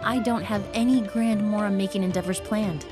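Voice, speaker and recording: A woman's voice: medium pitch, fairly even intonation, normal speed, over music, natural voice. A woman voicing a poised young perfumer in a video game, speaking politely and articulately. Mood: composed, earnest. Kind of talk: video game dialogue acting.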